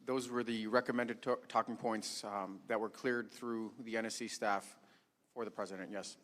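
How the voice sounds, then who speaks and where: A man's voice: on the low side, steady tone, moderate pace, a bit bright. Male witness answering in an echoing hall. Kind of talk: formal testimony. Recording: reverberant hearing room.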